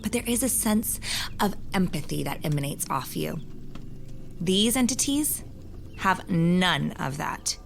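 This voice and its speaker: Lady playing a wise grave magic lore keeper, really slow, lilting up and down, audio drama. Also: lowish voice, lively intonation, vocal fry, soft background music. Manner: deliberate, storytelling, old-fashioned phrasing.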